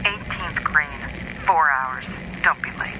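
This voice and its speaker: An actor playing a menacing, commanding villain giving clipped orders over a radio in an audio drama. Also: male voice, a little high, slow pace. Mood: cold, demanding. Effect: radio transmission filter. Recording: dull, noisy radio transmission.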